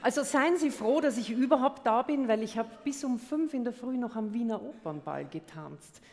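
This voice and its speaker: A woman's voice: a little high, animated, moderate pace, darkish tone. A woman from Austria with a Viennese accent, co-presenting with comedic, playfully indignant stage banter at a carnival concert. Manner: teasing, theatrical, playfully indignant. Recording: live hall reverb.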